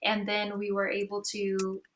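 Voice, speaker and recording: A woman's voice: medium pitch, monotone, a little slow, a bit bright, slight background noise. A woman chatting casually and informally on a panel as a creative artist. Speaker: musician and audio drama creator on a panel.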